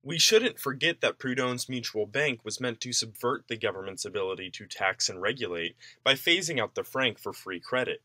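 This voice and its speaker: A man's voice: medium pitch, lively intonation, normal speed, hardly any pauses, pushed and bright, dry recording. A man reading a written essay aloud in a formal, declarative way, as scripted narration. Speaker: reader of an essay.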